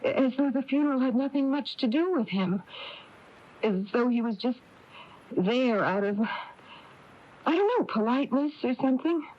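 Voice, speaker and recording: Anxious, flustered small-town wife, muffled radio. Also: female voice, sits high, expressive. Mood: flustered, confiding. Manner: halting, breathless, flustered delivery. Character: anxious, fretful small-town married woman, rambling and confiding. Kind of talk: 1980s radio drama acting. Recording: dull lo-fi AM radio tape.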